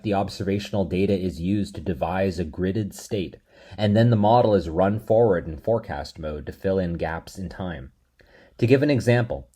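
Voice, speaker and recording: A man delivering a scripted lecture in a measured, steady explanatory way. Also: deep voice, husky.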